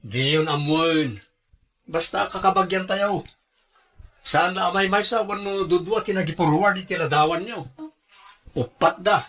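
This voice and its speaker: A man's voice: sits high, unhurried, pauses often, sharp tone, slight background noise, exaggerated voice. A man playing a responsible grown son in a radio drama, firm and reasoning in the way he talks. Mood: firm, reproving. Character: responsible grown son working abroad, firm with his mother.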